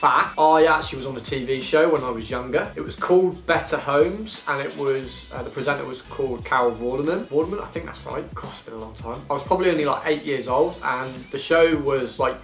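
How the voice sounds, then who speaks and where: A man's voice: medium pitch, brisk, gravelly, hardly any pauses, a bit bright, reverberant. Chatty young man from England. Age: 20s. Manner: rambling, self-deprecating, chatty while eating. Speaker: YouTuber. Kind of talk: casual vlog Q and A.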